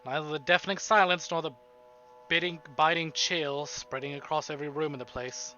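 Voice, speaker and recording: Man, game streamer, sharp bright voice, slightly high pitch, dramatic read-aloud, joking asides, music behind. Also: steady tone, brisk, highs cut off. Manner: dramatic reading broken by casual swearing banter. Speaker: streamer reading a visual novel aloud.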